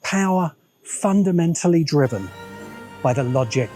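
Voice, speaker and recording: British male essayist, mellow and slightly high voice, deliberate emphatic delivery, music underneath. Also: animated. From Britain. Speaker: video essayist, host. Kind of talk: scripted narration of a political film essay. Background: background music.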